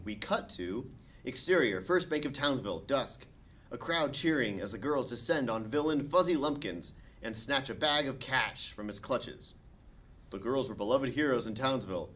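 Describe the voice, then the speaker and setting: A man's voice: medium pitch, fairly bright tone. A man reading stage directions and narration aloud as the narrator of a comedy script table read.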